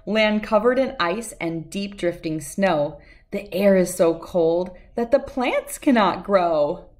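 A woman's voice: mid-range voice, animated, slow pace, darkish tone. Middle-aged woman reading rhymes aloud to children. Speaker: children's book reader. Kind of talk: read-aloud of a rhyming picture book.

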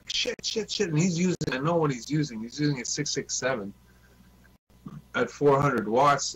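A man chatting casually as a CB radio hobbyist, telling stories in a repetitive, sweary way on an online group call. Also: on the high side. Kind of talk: casual chat. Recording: noisy online voice chat with hum.

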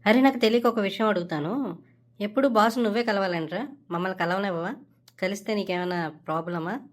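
A woman's voice: medium pitch, fairly quick, close to an everyday voice. A woman voicing a brash, entitled and scheming young male office worker in a comedy cartoon, talking casually and cockily. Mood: demanding, irritated. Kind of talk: Telugu comedy cartoon dialogue.

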